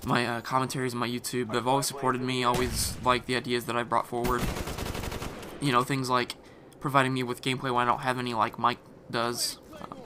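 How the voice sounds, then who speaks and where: A man's voice: medium pitch, completely flat delivery, fairly quick. Scratchy-throated man rambling over gunfire. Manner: rambling, with many fillers and restarts. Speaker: gaming commentator. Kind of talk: casual voice-over commentary over gameplay. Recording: voice-over mixed over loud game gunfire. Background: game gunfire and explosions.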